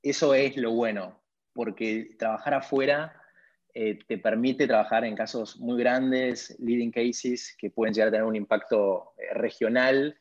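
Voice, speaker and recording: Male podcast guest, Argentine accent, conversational, average pitch, lo-fi sound. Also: steady tone, measured pace. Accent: Argentine Spanish. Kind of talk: conversational interview answers. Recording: dull lo-fi audio.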